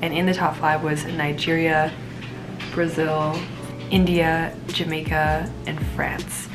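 A woman's voice: low-pitched, little pitch movement, slow pace, music in the background. A young woman with a stuffed-up cold, reviewing a pageant in a bubbly, rambling, casual vlog to camera from a small room. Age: young adult. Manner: bubbly, frequent "like", rambling asides. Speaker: pageant vlogger. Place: small indoor room at home.

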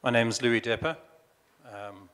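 A man with a booming voice introducing himself as a panelist at a conference, speaking into a handheld mic. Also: a little slow, fairly bright tone. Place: large conference hall. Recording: long hall reverb.

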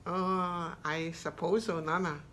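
A woman's voice: lowish voice, flat delivery, takes it slowly, heavy background noise. An older woman from rural China, a native Cantonese speaker with a Cantonese accent, teaching casually in a plain-spoken, hesitant, good-humored way. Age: 60s or older. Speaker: mother acting as language teacher. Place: small plain room indoors.

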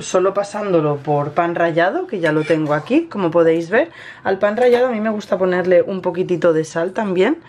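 A woman with an accent from Spain talks through a recipe in a chatty, informal way. Her voice sits on the low side. She is recorded in a home kitchen, with clear frying and stirring noise around her. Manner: chatty, explanatory, informal with many diminutives. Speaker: cooking vlog host. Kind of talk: casual cooking tutorial.

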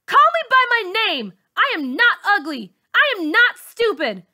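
A woman's voice: very high-pitched, sing-song, a little slow, pushed and bright, pitched above the performer's own voice, heavily acted. A woman voicing a defiant, wounded young schoolgirl in an audiobook, emphatic and outspoken as she stands up for herself. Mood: defiant, yelling.

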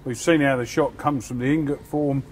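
A man's voice: medium pitch, steady tone, normal speed, slight background noise. Man summing up on a factory tour. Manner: eager, agreeable, summing up. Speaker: visiting presenter. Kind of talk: casual tour chat. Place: large factory floor.

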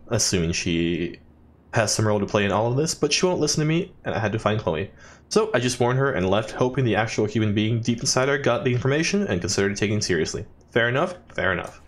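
An expressive male let's player with a medium-pitched voice reads a game character's writing aloud. He stumbles over the odd word and slips in jokey asides as he goes. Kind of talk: reading game journal text aloud with asides.

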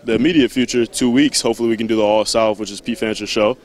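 Young male contest winner, lowish flat voice, polite and respectful. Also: fast talker, a little hiss or hum. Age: young adult. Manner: polite, says yes sir. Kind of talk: brief on-stage interview. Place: large indoor stage.

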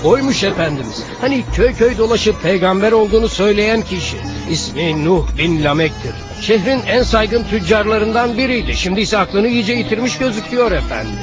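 A man's voice: high-pitched, expressive, fairly quick, hardly any pauses, music in the background. An actor playing an obsequious, theatrical male royal advisor in a dubbed cartoon. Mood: informing, scornful. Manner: deferential, theatrical.

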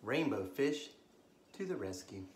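An American man in his thirties hosting a children's story time, reading aloud expressively with gentle asides to young listeners. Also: mid-range voice, very animated, a little slow, slight background noise. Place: living room, on a couch indoors. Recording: roomy, echoey home recording.

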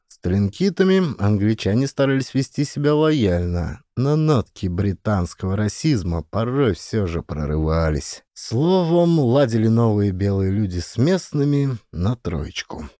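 A male audiobook narrator tells an adventure story in a fairly low, mellow voice. His intonation moves around a lot, giving the reading an expressive storyteller's feel. The recording is close and dry. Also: no room sound.

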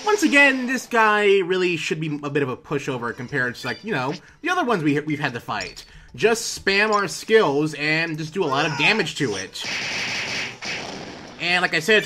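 High-pitched, lively man commentating on gameplay. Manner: rambling, halting delivery with repeated words. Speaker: let's player hosting a video game series. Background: video game music.

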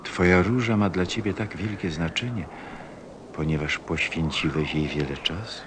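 A man playing a gentle, wistful fox who craves friendship, speaking earnestly and tenderly in an audio play. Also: low voice, slight background noise, lightly acted. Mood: tender, solemn. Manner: slow, earnest, tender delivery. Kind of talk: Polish-language audio play acting.

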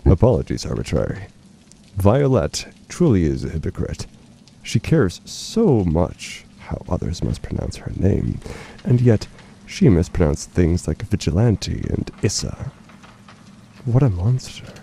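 A man acts out a blinded, unhinged announcer from a dystopian horror drama. His voice is very low and slow, with halting, theatrical formality and a brooding, wounded edge. A clear rumbling noise runs behind him. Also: big pitch swings, warm tone, exaggerated voice. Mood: bitter formal. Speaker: voice actor playing a radio announcer. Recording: noisy rumbling ambient bed under the voice.

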